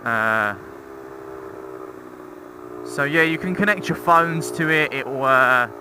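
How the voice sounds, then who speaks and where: A man's voice: mid-range voice, animated, slow. A young man talking casually and informally into a helmet intercom microphone while riding a motorcycle, rambling through a review with some swearing and self-mockery. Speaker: motorcycle vlogger. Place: outdoors, riding a motorcycle on roads. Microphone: intercom microphone inside a helmet. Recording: helmet intercom mic with loud engine noise. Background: motorcycle engine and road noise.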